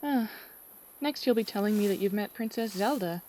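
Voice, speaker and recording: A woman playing a friendly, teasing young ranch girl in a fantasy audio drama, chatty and expressive. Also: mid-range voice, normal speed, a little hiss or hum, lightly acted, rough recording. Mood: relieved, teasing.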